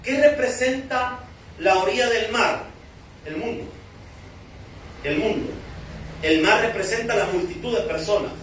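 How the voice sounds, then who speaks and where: High-voiced Salvadoran pastor in echoey hall. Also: male voice, a little slow, long pauses, a bit bright, a little hiss or hum. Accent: Salvadoran Spanish. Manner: teaching, asks and answers his own questions. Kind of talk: sermon. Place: church hall. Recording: echoey church hall from far off.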